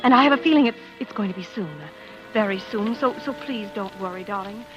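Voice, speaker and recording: An actress playing a desperate, tender young wife in 1940s radio melodrama, with a halting, breathless delivery and repeated words. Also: female voice, mid-range voice, music in the background, natural voice. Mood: anxious, confiding. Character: desperate young wife secretly hunting a killer, tender, nervous. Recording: dull, noisy 1940s radio recording.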